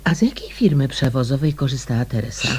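A woman's voice: a bass voice, expressive, quick pace, dark tone, breathy edge, heavily acted. An actress playing a polite, inquisitive woman with a questioning manner in a radio play. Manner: polite, questioning, hesitant openings. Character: polite, inquisitive woman quietly probing for facts.

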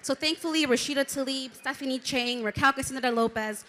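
Bright-voiced young councilwoman telling her story. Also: high voice, a little fast. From Mexico, raised in Detroit. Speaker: city council member. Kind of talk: panel discussion answers. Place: conference stage, indoors. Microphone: handheld mic.

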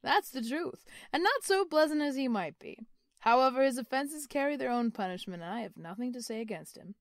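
A woman reads a hearty, boisterous young man in a storybook, full of cheer and booming good humor. He sits fairly high in pitch, close and dry on the mic. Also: animated, brisk, dry recording. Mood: hearty, jovial.

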